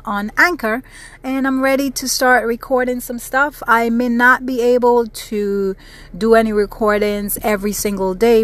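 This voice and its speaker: A woman from the Caribbean talks through a casual solo podcast at an unhurried pace. Her voice sits at a middling pitch. She is chatty and earnest, and she repeats phrases to drive her point home. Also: fairly bright tone. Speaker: podcast host. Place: South Florida.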